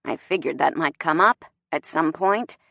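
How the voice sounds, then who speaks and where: A woman voicing a bossy but warm and protective young boyfriend, soft-spoken and wry with an intense edge. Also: fairly high pitch, lively intonation, moderate pace, gravelly, no echo. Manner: soft-spoken, wry, growling when strained.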